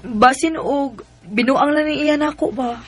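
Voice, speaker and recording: High-voiced young Bisaya mother. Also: unhurried. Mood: cautious, loving. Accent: Bisaya Filipino. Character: hard-working, struggling young single mother, tender and cautious. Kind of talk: Bisaya radio drama acting.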